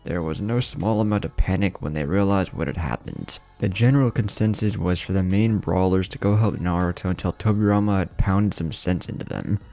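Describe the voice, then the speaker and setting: A man's voice: low-pitched, fairly quick, gravelly, hardly any pauses, a little mellow. A man narrating a scripted fan fiction story, with music playing behind him.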